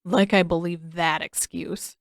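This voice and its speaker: A woman's voice: on the low side, steady tone, a little slow, creaky, no room sound, clean studio sound. A woman voicing a sarcastic, exasperated teenage boy in an audiobook story, with emphatic delivery. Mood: exasperated sarcasm. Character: sarcastic teenage boy, exasperated, nervous big-brother type.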